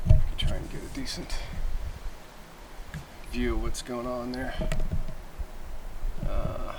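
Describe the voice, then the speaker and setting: A man's voice: mid-range voice, level tone, slow pace, heavy background noise. A man giving a casual, rambling hands-on demonstration as he explains gear, in an informal manner. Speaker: presenter of CB radio antenna tests.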